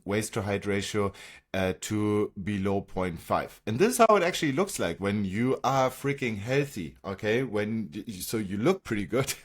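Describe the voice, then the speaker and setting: A man with a German accent, a native German speaker, talking as a fitness coach in an informal explainer, often checking in with "okay?" or "right?". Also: fairly low pitch. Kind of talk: informal explainer talk with slides.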